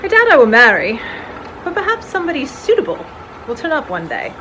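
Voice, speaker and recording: A woman's voice: high voice, huge pitch swings, a little fast, sharp tone, slight background noise, full character voice, poor audio. A woman playing a prim, shy, proper unmarried librarian in a dramatized novel reading, speaking politely and with restraint. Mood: shy musing.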